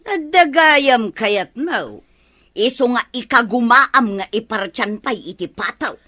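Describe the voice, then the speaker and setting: An actress with an Ilocano accent playing a sharp-tongued, nagging middle-aged village woman in a radio comedy drama. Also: on the high side, expressive, slow, full character voice. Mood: scolding.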